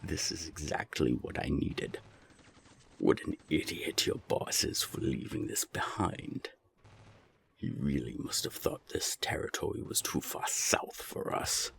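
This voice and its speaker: A man playing a menacing naga gang boss in an audio roleplay, gravelly and deliberate, with cold, taunting threats. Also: big pitch swings, fairly bright tone, a little hiss or hum, heavily acted. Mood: cold menace. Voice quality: very deep, gravelly. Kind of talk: audio roleplay drama acting.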